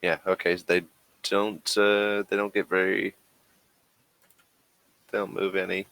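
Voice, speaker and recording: A man running a tabletop game as game master, narrating casually and haltingly as he thinks aloud. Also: deep voice, level tone, vocal fry, a bit bright, clean tone, no echo, poor audio.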